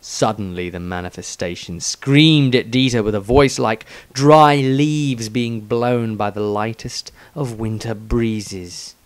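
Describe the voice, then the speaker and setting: A man reading a fantasy story as a dramatic audiobook, with deliberate, suspenseful phrasing. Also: on the low side, no room sound. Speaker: author reading own story.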